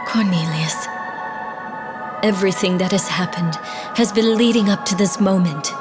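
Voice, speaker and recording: A woman's voice: medium pitch, lightly acted. A woman playing a solemn, determined young sorceress princess in a dramatic fantasy video game. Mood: solemn resolve. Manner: dramatic, deliberate, incantatory. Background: music.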